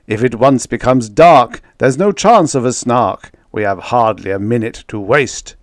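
A man voicing a pompous, blustering ship's captain in a verse reading, declaiming loudly and giving orders. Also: mid-range voice, measured pace, dry recording, studio quality, close to an everyday voice. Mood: impatient. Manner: loud, declaiming, commanding.

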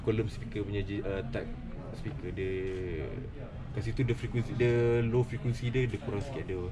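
A Malaysian man with a fairly low voice talks through technical points in a casual way. He hesitates often and searches for words as he explains. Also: fairly even intonation, moderate pace, low-quality recording. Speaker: audio specialist. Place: small cluttered office, indoors.

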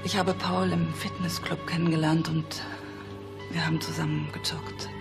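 An actress playing a bitter, wounded wealthy wife in a radio play, with a creaky voice. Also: female voice, deep voice, flat delivery, normal speed, loud music behind the voice. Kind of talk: German radio play acting.

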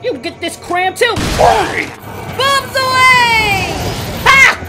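A woman's voice: very high voice, drawn-out words, loud music behind the voice. A woman chatting casually as host of a game livestream, with playful, sweary banter. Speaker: streamer host.

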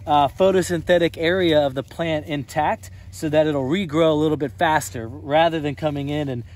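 A man's voice: on the high side, steady tone, normal speed, a little mellow. A young man, a farmer, giving an earnest on-site tour outdoors on a snowy field, explaining with frequent fillers. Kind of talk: on-site farm tour interview.

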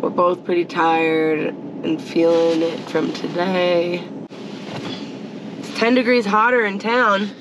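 A young woman chatting casually to a handheld camera inside a small camper van, telling the story in an animated way, with music underneath. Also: on the low side, slow, background noise. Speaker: van life vlogger. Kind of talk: casual vlog chat. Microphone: handheld action camera at arm's length.

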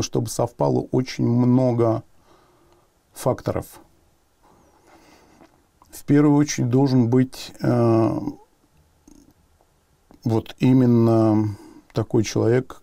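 A man in his fifties speaks reflectively in an interview, with heavy vocal fry and a very dark tone. He phrases things in measured, hesitant pieces in a dry studio. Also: medium pitch, no room sound. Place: small soundproofed studio, seated.

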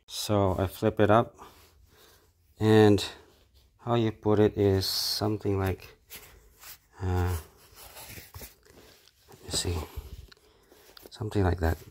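A middle-aged man with a Filipino accent, off camera in a carpeted room, speaking in a halting, casual way with many fillers and restarts as he shows an item to a handheld phone camera. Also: fairly low pitch, little pitch movement, a little mellow. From Philippines. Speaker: product reviewer. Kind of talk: casual unboxing commentary.

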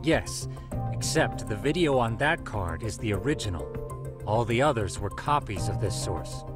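A man's voice: lowish voice, animated, music in the background, close to an everyday voice. Geeky, composed tech expert played by a man. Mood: explanatory. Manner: explanatory, measured. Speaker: voice actor, video game English dub. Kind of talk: video game cutscene dialogue.

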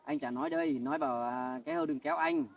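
A man playing a gravelly, defensive husband. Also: fairly high pitch, wildly swooping pitch, racing, far from the mic, dull audio, heavily acted. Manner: defensive, placating. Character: defensive husband caught between wife and family. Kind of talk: amateur family skit.

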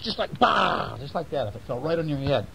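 Expressive middle-aged man telling a bedtime story. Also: fairly high pitch, fairly quick, creaky. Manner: playful, repeats and checks with listeners. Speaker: father reading bedtime stories to children. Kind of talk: improvised bedtime storytelling with chat to children. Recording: faint noise, homemade recording.

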